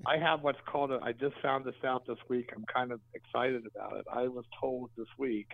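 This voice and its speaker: A man's voice: medium pitch, fairly even intonation, fairly quick, vocal fry, a loud crowd. A man with a Mid-Atlantic accent, a guest on a podcast, talking in a rambling way and correcting himself as he goes. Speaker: guest, event organizer. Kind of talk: casual podcast interview. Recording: dry tinny phone-line sound.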